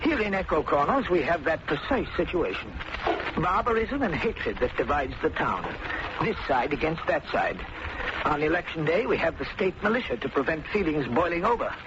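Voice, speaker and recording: Fretful, high-pitched little bank manager. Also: male voice, big pitch swings, moderate pace. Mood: alarmed. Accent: American. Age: middle-aged. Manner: fretful, hesitant, formal. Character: nervous, shriveled little male small-town bank manager. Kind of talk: old-time radio drama acting. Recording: muffled, noisy old radio recording.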